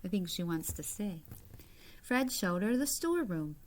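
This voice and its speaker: A woman reading a children's picture book aloud like a teacher, with expressive, deliberate phrasing and short asides to young listeners. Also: mid-range voice. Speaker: read-aloud storyteller, teacher-like.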